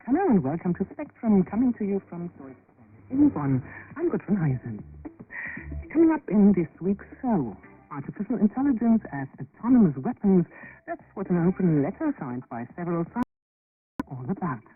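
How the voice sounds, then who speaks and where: A woman's voice: on the low side, big pitch swings, moderate pace, faint music behind. A woman hosting a radio magazine show, reading from a script. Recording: muffled, narrow-band shortwave radio broadcast.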